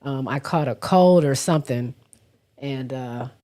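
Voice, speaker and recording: Raspy, stuffy woman hosting a live chat. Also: extremely low pitch, warm tone. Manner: halting, often stops to clear her throat. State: hoarse and stuffy from a cold. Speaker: livestream host. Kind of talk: casual livestream chat, reading viewer comments.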